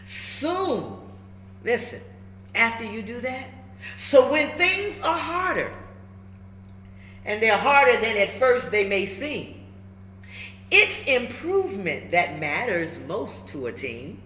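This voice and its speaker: A woman reading a children's book aloud, emphatic and instructive, pausing between short phrases and adding encouraging asides. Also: on the high side, sing-song, sharp tone. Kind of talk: animated storybook read-aloud with encouraging asides. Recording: echoey room with faint background noise.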